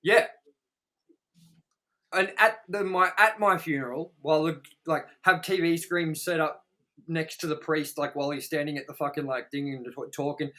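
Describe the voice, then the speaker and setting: A man's voice: fairly high pitch, normal speed, sharp tone. An Australian man hosting a podcast, talking casually and crudely in a ranting, swear-heavy film review. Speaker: podcast host.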